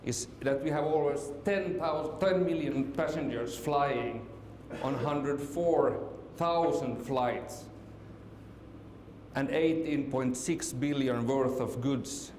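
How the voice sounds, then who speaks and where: A man's voice: medium pitch, takes it slowly, darkish tone. A middle-aged man from Finland giving a conference presentation, hesitant, with many fillers and restarts. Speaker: conference speaker. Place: conference hall. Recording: echoey hall with faint noise.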